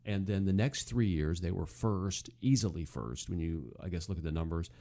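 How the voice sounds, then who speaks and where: Low-voiced man chatting casually. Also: normal speed, dark tone. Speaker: podcast co-host. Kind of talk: casual chat, nostalgic TV commentary.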